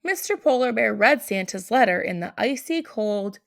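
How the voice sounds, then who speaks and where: A woman's voice: a little high, animated, moderate pace, vocal fry. A woman narrating a picture book aloud for children. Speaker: storybook reader.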